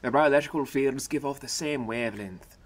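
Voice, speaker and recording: A man's voice: medium pitch, a little fast, heavy background noise, close to an everyday voice. An actor playing a smug, blunt man who mocks the people he talks to. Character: smug, mocking man who sees souls. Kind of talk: fan audio drama acting.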